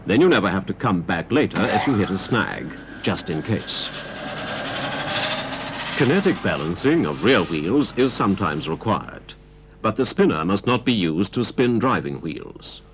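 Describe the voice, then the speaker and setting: A British man reading a scripted instructional narration in a measured, precise way, from a 1970s training film. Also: deep voice, sing-song, background noise. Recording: dull 1970s videocassette transfer. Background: 1970s training film soundtrack.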